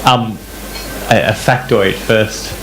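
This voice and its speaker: A man from the audience, an academic, asking a long, winding question that grows animated. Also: fairly low pitch, takes it slowly, gravelly, echoey room, a crowd in the background, rough recording. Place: club event room.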